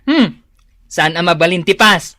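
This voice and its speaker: A woman's voice: lowish voice, expressive, measured pace, fairly bright tone, dry recording, clean studio sound. A woman with an Ilocano accent playing a bossy, nosy village creditor in a radio drama. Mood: demanding.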